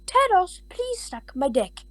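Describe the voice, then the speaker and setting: A woman's voice: very high voice, big pitch swings, measured pace, no echo. A woman hosting a comedy podcast, blunt and crude, firing off abrupt questions and insults. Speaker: podcast host. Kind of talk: chaotic comedy chat.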